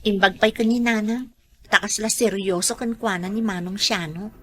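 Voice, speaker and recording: A woman's voice: mid-range voice, steady tone, moderate pace, a bit bright, close to an everyday voice. A woman playing a dutiful young daughter in an Ilocano radio drama. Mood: surprised, questioning. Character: dutiful young daughter, startled then accepting.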